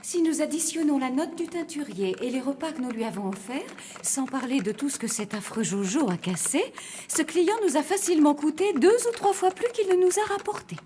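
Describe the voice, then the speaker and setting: A woman's voice: high-pitched, lively intonation, a little fast, dark tone, a little hiss or hum. A woman playing a practical, money-minded zombie hotel owner and mother in a children's cartoon, precise and theatrical. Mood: dryly tallying. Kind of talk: French children's cartoon dubbing.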